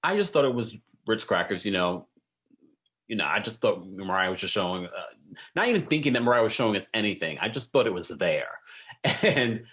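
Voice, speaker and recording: Male video host, lowish pitch, conversational delivery aimed directly at viewers. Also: big pitch swings, a little fast, a bit bright. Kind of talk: casual chat to viewers.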